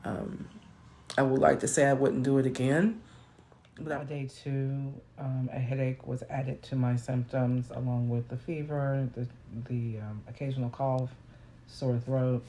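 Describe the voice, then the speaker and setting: Hoarse, deep-voiced woman talking casually. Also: level tone, unhurried, warm tone. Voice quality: naturally deep voice. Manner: rambling, self-correcting, conversational. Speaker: vlogger. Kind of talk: casual personal account.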